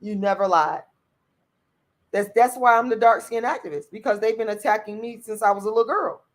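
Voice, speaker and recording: A woman's voice: medium pitch, fairly quick. A woman, hosting a livestream and reacting to viewer comments. She talks in a blunt, outspoken way, swears freely and repeats her points to drive them home. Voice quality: raspy voice. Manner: blunt and profane, repeats herself. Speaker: channel host reading viewer comments.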